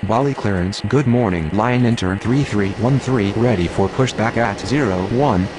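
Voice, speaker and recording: A man's voice: fairly low pitch, normal speed, noisy background, close to an everyday voice. A man voicing a businesslike airline pilot and air controller in a game, speaking clipped, matter-of-fact radio phraseology.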